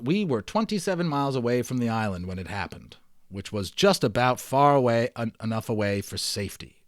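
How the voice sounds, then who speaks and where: A man's voice: medium pitch, dry recording. Man reading a storybook aloud, dry close sound. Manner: expressive, enthusiastic storyteller, emphasizes and draws out words. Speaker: amateur audiobook reader. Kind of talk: dramatic read-aloud of a children's novel with casual asides.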